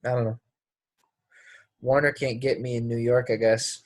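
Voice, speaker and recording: A man's voice: medium pitch, level tone, normal speed, no echo, close to an everyday voice. A man playing a brash, resentful young actor in a play reading, speaking in a blunt, casual, clipped way. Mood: casual, aloof. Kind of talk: play readthrough acting.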